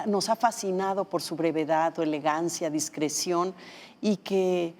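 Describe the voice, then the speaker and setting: A woman's voice: medium pitch, long reverb tail. A woman hosting a TV interview who asks questions with frequent restarts and fillers. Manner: warm prompting, hesitant restarts. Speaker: TV host. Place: TV studio.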